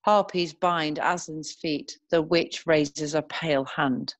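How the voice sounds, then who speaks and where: A woman narrating a screenplay, reading scene headings and stage directions in a measured way. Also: deep voice, fairly even intonation, no echo.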